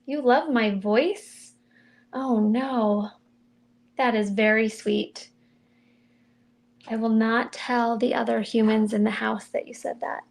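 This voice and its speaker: Mild, gravelly woman demonstrating crafts. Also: a little high, fairly even intonation, slow pace, long pauses. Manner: chatty, mild and soft-spoken, thinking aloud while working. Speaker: card-making demonstrator. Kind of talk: casual crafting livestream chat.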